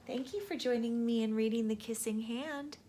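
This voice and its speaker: A woman hosting storytime, narrating a children's book with deliberate phrasing for young listeners. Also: on the high side, mellow, a little hiss or hum. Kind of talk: children's storybook read-aloud.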